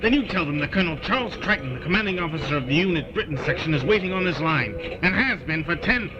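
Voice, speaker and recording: A man's voice: a little high, animated, fast, lightly acted. A man playing an impatient, commanding military colonel in an audio drama, brusque and authoritative. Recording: dull lo-fi sound.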